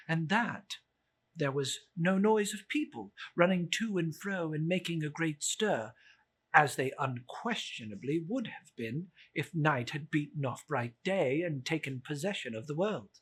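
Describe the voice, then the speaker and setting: Male narrator for dramatic literary reading, unhurried, very varied intonation. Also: on the high side, fairly bright tone, slightly rough. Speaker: story narrator.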